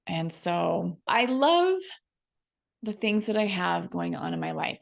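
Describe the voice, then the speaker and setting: A woman's voice: on the low side, gravelly. A middle-aged American woman hosting a chatty solo podcast, friendly and informal, with a scratchy, froggy voice from laryngitis. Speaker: podcast host and coach.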